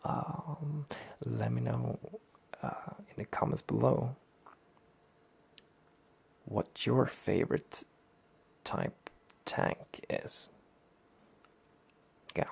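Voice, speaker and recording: A soft-spoken man with a husky, very raspy voice, drifting into a breathy half-whisper. He talks slowly and lets his pitch wander up and down as he rambles. A heavy gravelly fry sits under most of his words. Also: animated. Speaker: anime review host. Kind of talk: soft-spoken relaxing talk.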